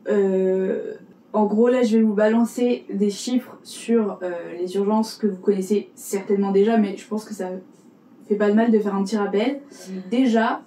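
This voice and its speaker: A woman's voice: medium pitch, warm tone, roomy sound, recorded from a distance. A woman podcast co-host chatting casually, talking in long rambling asides and laughing often. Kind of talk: casual chat between friends.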